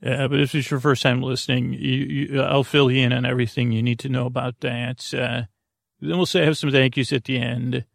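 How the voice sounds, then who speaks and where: A man hosting a bedtime podcast, improvising a meandering, digressive monologue in a creaky voice, with halting stammers and repeated words. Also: medium pitch, little pitch movement, no room sound, studio quality. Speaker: podcast host.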